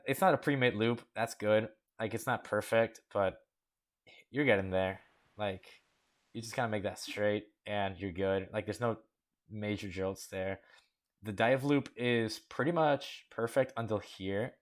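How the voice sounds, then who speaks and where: Low-voiced young man casually critiquing rides. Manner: rambling, frank critique with frequent fillers. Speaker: host judging a coaster-building contest. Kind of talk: casual commentary over gameplay.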